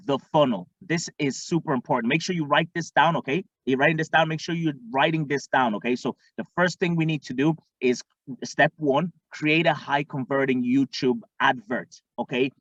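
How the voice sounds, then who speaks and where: A man from Tanzania, a marketing coach, teaching in an energetic way and often checking in with the listener. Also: mid-range voice, dry recording. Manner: energetic, repeats "okay?" often. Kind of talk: instructional screen-share talk. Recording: dull lo-fi dry sound.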